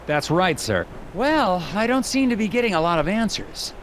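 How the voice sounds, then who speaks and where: Earnest awkward agent, lively high voice. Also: male voice, normal speed, slight background noise. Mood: puzzled, polite. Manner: polite, hesitant, deadpan. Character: earnest, awkward young male FBI puzzle agent. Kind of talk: animated adventure game dialogue and dictated notes.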